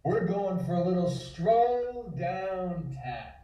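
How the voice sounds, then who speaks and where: Man acting a proud, high-pitched gentleman, animated and echoey. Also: slow pace, heavily acted. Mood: pompous, assured. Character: proud gentlemanly man with a cane, quick to anger. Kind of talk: voice acting at an online script table read. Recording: muffled, echoey room, distant mic.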